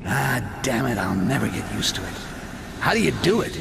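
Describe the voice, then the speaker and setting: An actor playing a gruff, cynical mercenary in a video game, a grudging loner with a blunt, sardonic way of talking. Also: male voice, mid-range voice, big pitch swings, moderate pace, noisy background. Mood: irritated, sardonic.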